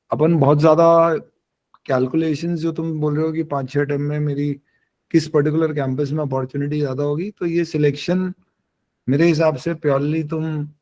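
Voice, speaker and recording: A man's voice: on the high side, a little mellow, low-quality recording. An Indian man hosting a webinar question-and-answer, explaining things frankly and informally while switching between Hindi and English. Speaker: careers webinar host and mentor. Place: online webinar call.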